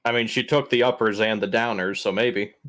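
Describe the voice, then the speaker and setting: A man's voice: lowish voice, fairly even intonation, a little fast, a bit bright. A man playing a tabletop game, bantering casually and informally with the other players. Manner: joking, informal.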